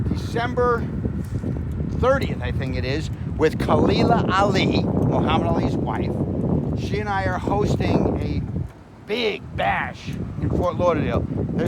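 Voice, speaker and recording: A man chatting casually and playfully as he hosts a street vlog, with a boastful streak. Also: high-pitched. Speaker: celebrity show host. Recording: loud music and street traffic behind. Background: loud music, vehicles, urban noise.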